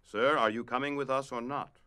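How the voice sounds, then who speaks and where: A man's voice: mid-range voice, fairly even intonation, a little fast, sharp tone, smooth, lightly acted. A man playing a grave otherworldly guardian with a formal, authoritative manner in an audio drama. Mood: grave, urgent.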